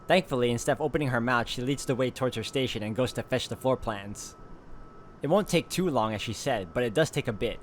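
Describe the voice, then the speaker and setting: A man's voice: mid-range voice, fairly quick. Man narrating a game over faint noise. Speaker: let's play host reading a visual novel aloud. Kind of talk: narration read aloud with casual asides.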